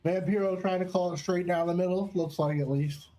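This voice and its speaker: A man's voice: high voice, fairly even intonation, a little fast, dark tone, low-quality recording. A man hosting casual wrestling commentary in an enthusiastic, slangy and profane way. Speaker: segment host and match commentator.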